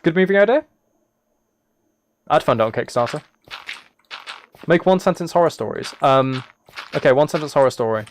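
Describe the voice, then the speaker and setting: A man's voice: fairly high pitch, expressive, moderate pace, pauses often. A man casually rambling to viewers on a livestream, musing aloud and often restarting his phrases. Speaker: live streamer. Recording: background noise with keyboard typing and clicks.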